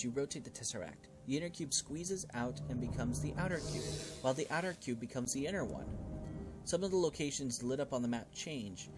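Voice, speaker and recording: Guy doing a casual game playthrough, reads the text out and reacts, medium pitch, game music behind him. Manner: expressive, reacting out loud to the game. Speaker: gaming video host playing a role-playing game. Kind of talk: casual game commentary mixed with reading game text aloud.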